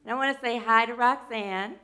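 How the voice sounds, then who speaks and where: A woman minister giving a loose, chatty sermon to her congregation. Her voice is scratchy today and she keeps clearing her throat, and she tends to wander off topic and joke along the way. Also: a little high, fairly quick. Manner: rambling, playful, drifts off topic mid-sentence. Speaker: minister of a church.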